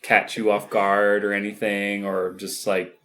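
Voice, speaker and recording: Young guy co-hosting a movie podcast, kinda low voice, lots of "like" and "um". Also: completely flat delivery, measured pace, pushed and bright. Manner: rambling, hedging with fillers. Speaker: podcast co-host. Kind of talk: casual movie podcast chat.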